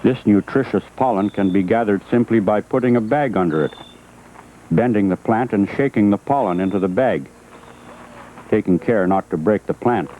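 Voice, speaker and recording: A man's voice: lowish voice, normal speed, narrow, tinny audio, a little hiss or hum. A man reading scripted narration for an educational film, on an old 1980s film soundtrack.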